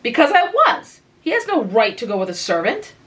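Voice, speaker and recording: A woman reading aloud, voicing a sturdy, practical fourteen-year-old girl who is brisk and motherly. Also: fairly high pitch, huge pitch swings, pitched above the performer's own voice. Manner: bright, emphatic and chatty.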